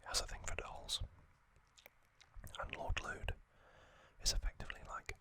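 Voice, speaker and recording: A man hosting an ASMR channel, whispering an informal, unhurried explainer in a hushed voice. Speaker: host of an ASMR trivia channel.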